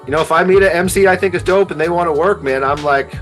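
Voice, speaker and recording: A man's voice: on the high side, fast, fairly bright tone, soft background music. A man, chatting casually and slangily as an interview guest, often saying "like". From Halifax, Canada. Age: 40s. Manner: slangy, frequent 'like' and 'you know what I mean'. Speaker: guest, hip hop producer and DJ.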